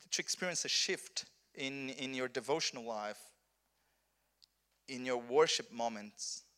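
A man's voice: mid-range voice, a bit bright. A Brazilian man, a Portuguese speaker preaching in English at a conference, earnest, with many restarts and repeated words. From southern Brazil. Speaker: pastor. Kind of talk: conference sermon.

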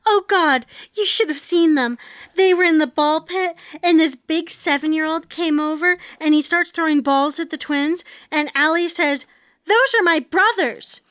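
A woman acts out a sitcom wife and mother at a table read. Her voice is high and animated with a sarcastic edge, recorded dry. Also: unhurried, no room sound. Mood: animated, fond.

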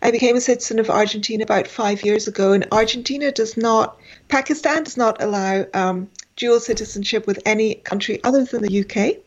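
A woman's voice: fairly high pitch, fairly quick, lo-fi sound. A woman in her forties, a guest in an interview, speaking with many fillers and restarts. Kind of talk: conversational interview.

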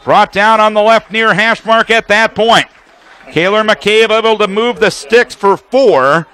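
A man's voice: high voice, a little slow, pushed and bright, clear, resonant tone. An American man calling live football play-by-play for radio, describing formations and action in a detailed running commentary.

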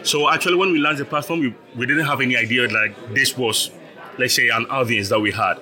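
A man's voice: medium pitch, fairly quick, pushed and bright. A young man from Ghana, a startup founder, explaining his business as an interview guest, patient, with frequent laughs and repeated words.

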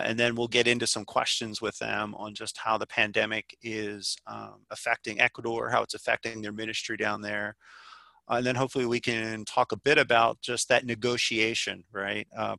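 Creaky-voiced man hosting an informal interview. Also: on the low side, bright, forceful tone, no room sound. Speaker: podcast host interviewing guests.

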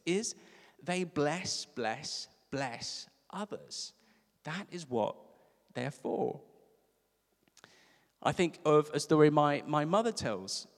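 A man from Africa, preaching a sermon in a conversational storytelling way with emphatic repeats. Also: fairly high pitch. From South Africa. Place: church service. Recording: reverberant church hall.

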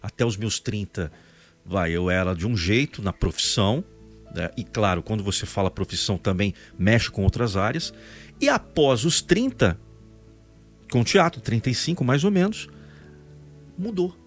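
An animated man with a São Paulo state Brazilian accent hosts a casual podcast. His pitch swings widely as he makes his points with emphasis. Music plays along with his voice. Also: moderate pace. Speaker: podcast host, voice-over announcer and actor.

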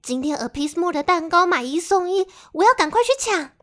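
A woman plays a young female salon assistant in an audio drama. Her voice is extremely high and perky. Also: expressive, a little fast, fairly bright tone.

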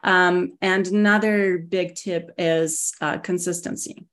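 Russian-accented woman, low voice. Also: slow pace. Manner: relaxed, hesitant, many ums and restarts. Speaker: guest, security executive. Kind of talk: casual interview conversation.

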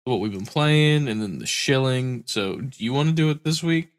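A man's voice: medium pitch, lively intonation, moderate pace. A man co-hosting a podcast in casual chat, stuffy-nosed from a cold, tired and rambling, swearing casually. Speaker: podcast co-host.

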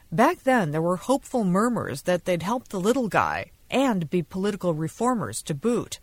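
A woman's voice: on the low side, no room sound. A woman correspondent narrating a scripted radio news report. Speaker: foreign correspondent.

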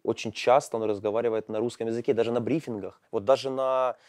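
A man's voice: mid-range voice, steady tone, fast talker, darkish tone, no room sound. Hesitant man in a dry room. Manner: thoughtful, hesitant, many fillers. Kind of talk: casual panel discussion.

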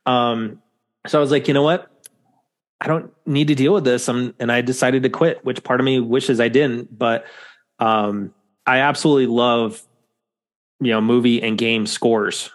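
A man's voice: mid-range voice, fairly even intonation, moderate pace. Male podcast host with a mid-range voice, easygoing and rambling, full of ums. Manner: rambling, lots of fillers. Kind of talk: casual chat.

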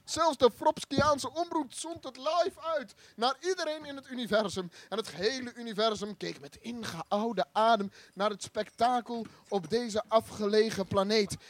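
A man's voice: very high voice, normal speed, hardly any pauses. A man playing a pompous spaceship captain who grandly narrates his own adventure in a comic sci-fi radio play, theatrical and stumbling over his words. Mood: grandiose. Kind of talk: live improvised sci-fi radio play, mock-heroic narration.

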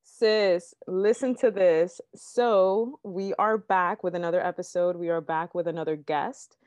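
A woman's voice: lowish voice, steady tone, unhurried, darkish tone, soft background music. A woman hosting a podcast, welcoming a guest with enthusiasm and rambling chatty asides. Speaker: podcast host. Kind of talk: casual podcast chat. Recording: dry, dull lo-fi sound.

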